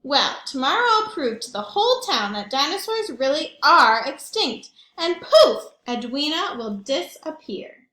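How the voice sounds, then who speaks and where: An indignant little know-it-all boy from a picture book, acted out by a woman storyteller, declaiming in a high, frustrated voice. The room around the voice is echoey. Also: big pitch swings, a little slow, hardly any pauses, sharp tone, exaggerated voice. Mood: indignant, emphatic.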